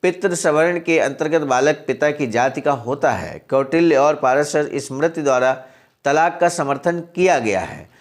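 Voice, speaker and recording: Man, fairly high voice, earnest reading, presenter of a daily message, explains as he reads. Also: lively intonation, measured pace. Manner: earnest, addresses listeners as honored friends. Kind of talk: reading and explaining a historical text. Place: center in Sarnath, Varanasi.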